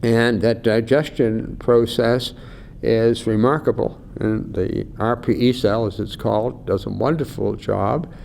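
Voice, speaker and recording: Older guy explaining retina stuff, measured, plays out doctor visits, faint rumble behind. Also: steady tone, vocal fry, a little mellow. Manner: measured, acts out doctor and patient. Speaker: eye researcher. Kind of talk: explaining to an interviewer. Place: conference venue.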